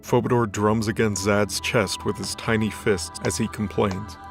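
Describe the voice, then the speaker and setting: Male narrator, lowish voice, flat even intonation, scripted fiction reading, soft music behind. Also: unhurried, creaky. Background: background music.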